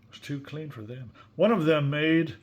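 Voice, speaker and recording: A man's voice: fairly high pitch, moderate pace, highs cut off. A man reading a picture book aloud expressively, with casual, chatty asides and questions to a young listener. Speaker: story reader.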